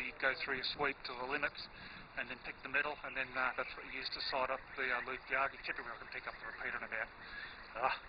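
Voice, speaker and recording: An Australian man giving a casual, unscripted walkthrough of equipment outdoors in a park, with a hesitant, colloquial, laid-back delivery over muffled, noisy transmission audio. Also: medium pitch. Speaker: amateur television operator presenting from a portable station.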